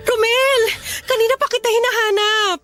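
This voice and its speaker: A woman's voice: extremely high pitch, fairly even intonation, normal speed, pushed and bright, faint music behind. A woman playing an earnest, emotional young daughter and devoted girlfriend in a radio drama. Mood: worried. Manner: pleading, emotional.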